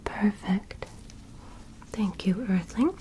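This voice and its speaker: A young woman playing a calm, curious female alien medical examiner in an ASMR roleplay, in a soft, breathy whisper with a gentle clinical manner. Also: mid-range voice, noisy background. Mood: calm, instructing. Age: young adult. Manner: slow, gentle, clinical, politely instructing. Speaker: ASMR performer. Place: small bedroom set, indoors. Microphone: close microphone.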